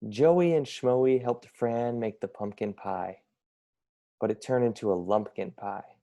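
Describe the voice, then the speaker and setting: Casting: young male storybook narrator, dark mellow tone with noticeable vocal fry, measured pace. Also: mid-range voice. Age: young adult. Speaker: storybook reader, college student. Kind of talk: scripted children's story narration.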